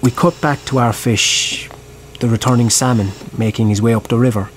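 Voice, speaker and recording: A man's voice: mid-range voice, fairly even intonation, moderate pace, lightly acted. Guy as writer telling his story, mid voice, earnest n reflective, radio drama narration, noticeable noise behind. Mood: descriptive, brooding. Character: reflective male screenwriter narrating his script, earnest and confessional. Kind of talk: dramatic first-person narration.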